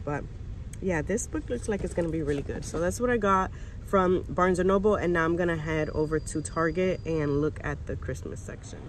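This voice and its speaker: A woman's voice: on the low side, poor audio. A young adult woman with an American accent, talking casually to camera as a vlogger on a handheld phone in a car. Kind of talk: casual chatty vlog talk to camera. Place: inside a parked car. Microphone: handheld phone at arm's length. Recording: phone in a car, faint background noise.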